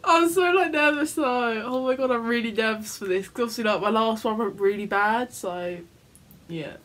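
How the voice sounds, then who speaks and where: A woman's voice: a little high, normal speed, a bit bright. A young southeast English woman talking casually in a small bedroom. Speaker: vlogger making prank calls. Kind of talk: casual chat. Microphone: camera a short distance away. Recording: faint background room noise.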